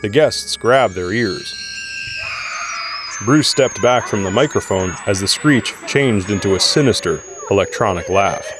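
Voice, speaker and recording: A man's voice: lowish voice, animated, measured pace. A man reading scripted narration for an audio drama. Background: music.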